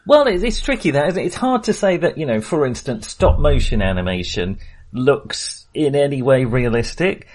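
A man's voice: medium pitch, sing-song, normal speed, darkish tone. A middle-aged man hosting a podcast, chatting casually about films in a jokey, self-deprecating way. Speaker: podcast host. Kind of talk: casual film discussion.

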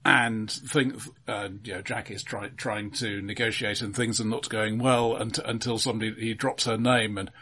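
A man hosting a casual but analytical film discussion, speaking in a measured, dry and wordy way. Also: fairly low pitch, steady tone, sharp tone, husky. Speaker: film discussion podcast host.

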